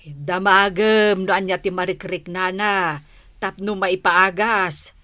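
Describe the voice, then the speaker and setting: A woman's voice: medium pitch, steady tone, slow, bright, forceful tone, dry recording. A woman acting as a concerned, nagging wife and mother in a radio comedy drama, with an Ilocano accent and a scolding, exclamatory delivery.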